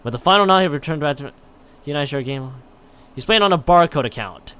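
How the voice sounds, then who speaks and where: A man with a clear, smooth, fairly high voice reads a game story aloud on a livestream. His delivery swings widely in pitch, and he trips over the text and drops in casual exclamations of his own. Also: lively intonation, quick pace, sharp tone. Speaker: game streamer. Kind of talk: livestream read-aloud of a visual novel with casual reactions.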